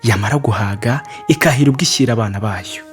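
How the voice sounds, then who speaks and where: A man's voice: medium pitch, rapid-fire, soft background music. A man reading a storybook and addressing children directly as their storyteller.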